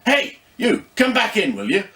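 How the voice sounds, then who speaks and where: A man voicing a brave, brisk, bossy middle-aged woman shopkeeper in a storybook reading. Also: sits high, wildly swooping pitch, pushed and bright, roomy sound, recorded from a distance, pitched above the performer's own voice, exaggerated voice. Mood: brisk, curious. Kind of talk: audiobook storytelling.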